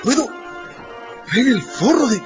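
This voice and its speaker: A man acting as an agitated, bossy, flustered policeman in a radio drama, excitable and exclaiming. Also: very high-pitched, unhurried, highs cut off, music in the background, exaggerated voice. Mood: baffled.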